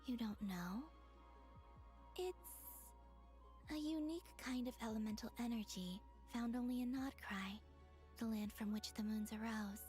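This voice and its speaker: A woman plays a mysterious young maiden in a video game, her voice fairly high and soft. She speaks calmly, explaining things quietly. Music is faint behind her. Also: measured pace, close to an everyday voice. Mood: calm, curious. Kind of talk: English video game voice acting. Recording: music under the voice.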